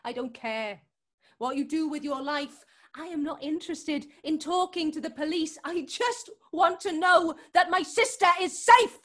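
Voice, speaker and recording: A woman's voice: high voice, unhurried, fairly bright tone. A woman acting in a drama scene as a worried, determined sister, insistent and scornful, with a British accent. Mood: bargaining, insistent. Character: worried, determined sister confronting a drug dealer. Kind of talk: acted scene from a drama showcase.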